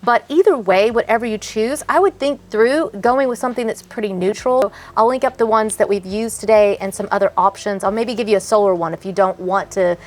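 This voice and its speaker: A woman's voice: mid-range voice, brisk, few pauses. A woman in her thirties hosting a home makeover video outdoors, chatting enthusiastically and laughing often. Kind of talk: casual on-camera chat and tips. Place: outdoors in a backyard garden.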